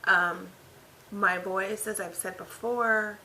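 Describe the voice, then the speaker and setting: Woman, 30s, raspy cracking voice, gravelly, tired, rambling with fillers, webcam on couch. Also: mid-range voice, fairly even intonation, slow pace, sharp tone, a little hiss or hum, poor audio. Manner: rambling, filler-heavy, self-correcting. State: recovering from throat surgery, tired. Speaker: vlogger. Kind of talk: casual health update vlog. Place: living room, seated on couch by window.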